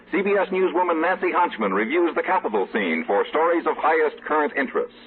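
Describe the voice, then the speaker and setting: A man with an American accent announces in a formal, scripted old-time radio style. His mid-range pitch moves animatedly, and the recording is narrow-band with faint noise. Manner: formal, polished. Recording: vintage radio broadcast, muffled, faint noise.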